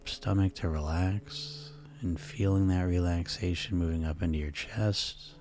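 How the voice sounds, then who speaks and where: A man leading a guided sleep hypnosis session in a soothing, hushed, instructional way. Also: low voice, a little hiss or hum.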